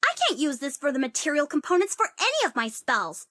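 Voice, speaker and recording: High-pitched, cocky sorceress. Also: female voice, huge pitch swings, a little fast, bright, forceful tone, no room sound, clean studio sound, full character voice. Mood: giggly protest. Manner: theatrical, teasing, swinging into wry asides. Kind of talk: video game character voice lines.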